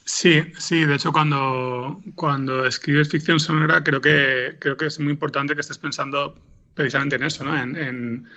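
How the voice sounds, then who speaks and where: Man, very creaky, forceful bright tone, halting, repeats words, informal interview. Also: mid-range voice, muffled recording. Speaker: podcast scriptwriter being interviewed.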